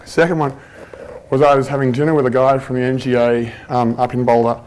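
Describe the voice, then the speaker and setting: A man talks through a workshop presentation in a loose, conversational way, trailing off and restarting as he goes. His voice sits at an ordinary middle pitch, picked up on a handheld mic with a faint hiss underneath. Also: fairly quick. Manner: relaxed, chatty, frequent ums and restarts. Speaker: conference presenter. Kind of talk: informal conference talk with anecdotes. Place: conference room at a workshop. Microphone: handheld microphone.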